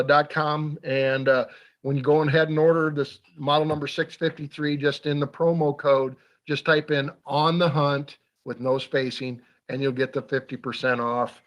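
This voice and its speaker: A man's voice: on the high side, steady tone, normal speed. A man hosting a hunting radio show, talking casually and reading a sponsor special with lots of fillers. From Iowa. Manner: folksy, filler-heavy delivery. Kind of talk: casual radio talk with an ad read.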